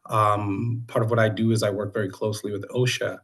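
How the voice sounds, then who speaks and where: A middle-aged American man from Boston, speaking informally and haltingly as a council candidate introducing himself to a community meeting. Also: fairly low pitch, flat delivery. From Boston, United States.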